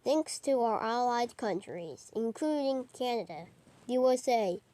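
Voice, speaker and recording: A woman reading a homemade mock emergency broadcast in a halting, improvised way with stumbles. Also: on the high side, lively intonation, mellow. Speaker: narrator of a homemade emergency alert scenario.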